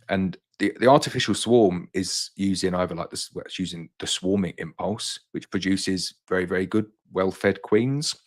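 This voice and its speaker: A young man hosting a casual live-stream Q&A and answering viewers' questions, his throat a little tired from a long day of talking. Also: deep voice. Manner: reads viewer comments aloud in a brighter voice, then answers plainly.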